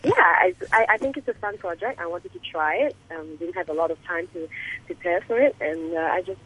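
A woman, a singer-songwriter guest talking on a radio interview by phone, halting and laughing often. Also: on the low side, expressive, fairly bright tone, breathy edge. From Singapore. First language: English. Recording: muffled phone line.

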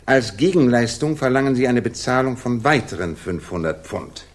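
A man's voice: medium pitch, moderate pace, lightly acted. An actor in a German radio drama playing a shrewd, commanding male detective who dictates with precise authority.

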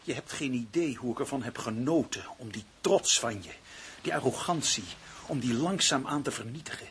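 A man's voice: mid-range voice, lively intonation, normal speed. An actor playing a bitter, vengeful male writer in a Dutch radio play, with theatrical, intense delivery. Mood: hateful, gloating. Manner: theatrical, intense monologue swinging from hushed to shouting. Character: bitter, vengeful male writer turned murderous, domineered by partner. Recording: noisy old radio play recording.

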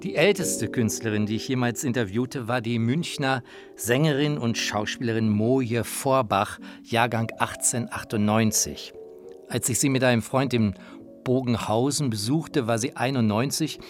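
Male documentary presenter, mid-pitched, delivering scripted personal narration for radio. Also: normal speed, over music.

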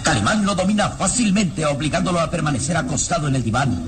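A man's voice: on the high side, lively intonation, fast. A man narrating a radio serial in a solemn, formal, dramatic literary style. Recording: radio drama with music behind.

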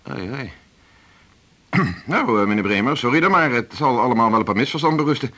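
A man's voice: mid-range voice, lively intonation, brisk, pushed and bright. A man acting in a 1980s Dutch radio drama as a brusque, authoritative doctor and mentor, curt and domineering, with a Netherlands Dutch accent. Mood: formal, probing.

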